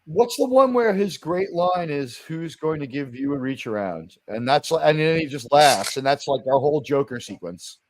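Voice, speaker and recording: Loud, opinionated man with a raised voice. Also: a little high, expressive, moderate pace, hardly any pauses. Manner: opinionated, emphatic rants. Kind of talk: casual chat on a live stream.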